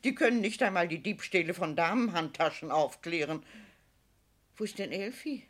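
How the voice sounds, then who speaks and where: Radio drama: a fussy, patronising elderly grande dame, husky voice, very expressive pitch. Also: female voice, normal speed. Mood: indignant. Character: old Hamburg consul's widow, grand, businesslike aunt. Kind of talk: German radio play acting.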